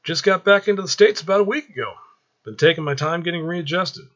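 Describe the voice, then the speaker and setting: A man's voice: a little high, a little fast, fairly bright tone, highs cut off, voice pushed up, close to an everyday voice. A man voicing a friendly, easygoing young soldier who talks in slang, in a story read aloud. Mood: cheerful greeting.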